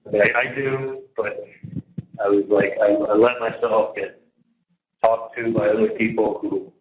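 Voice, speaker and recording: A man's voice: fairly low pitch, level tone, unhurried. A man talking casually and at length on a jail phone call, emphatic and repetitive, often trailing off mid-sentence. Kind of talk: casual, rambling private phone conversation. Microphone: telephone handset. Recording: muffled, noisy, echoey jail phone line.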